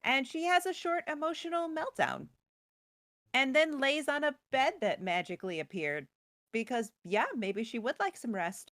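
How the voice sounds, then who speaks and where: Female storyteller co-host: casual recap, wry joking asides, higher-pitched voice, dry close sound. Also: expressive, normal speed, a bit bright, dry recording.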